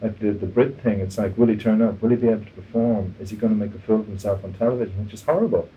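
An Irish man interviewing in a conversational style, eager and quick to interject. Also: lowish voice, phone-quality sound.

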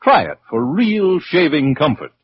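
Old-time radio announcer guy, highish lively voice, sales pitch style, muffled and hissy. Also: a little slow. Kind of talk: 1940s radio commercial announcing.

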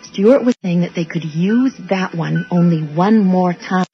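Young wife playing detective in a radio play, serious, pushy, suspicious, muffled old sound. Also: female voice, on the low side, unhurried, faint music behind. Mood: earnest, suspicious. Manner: earnest, insistent. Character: earnest, suspicious young wife, a dental assistant turned sleuth. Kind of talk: radio drama acting. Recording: dull lo-fi old radio broadcast.

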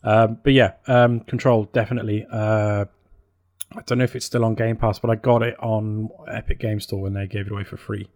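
A man's voice: fairly low pitch, little pitch movement, fairly quick, darkish tone, dry recording, clean studio sound. A man co-hosting a podcast, chatting casually and hesitantly as he thinks aloud. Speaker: podcast co-host. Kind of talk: casual chat about games and films.

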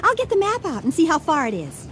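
An actress in a radio drama playing a nervous, superstitious wife who is fearful and pleading. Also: female voice, very high voice, huge pitch swings, quick pace, fairly bright tone, slight background noise, full character voice. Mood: concerned. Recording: 1980 radio drama with prominent music underneath.

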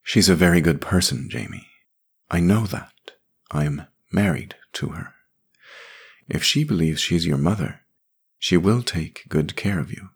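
A calm, firm grown woman speaks in a patient, gently insistent way, read in a man's own voice for an audiobook. The voice is very deep and husky. It moves slowly and evenly, with a lively rise and fall. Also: heavily acted. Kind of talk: audiobook character voice.

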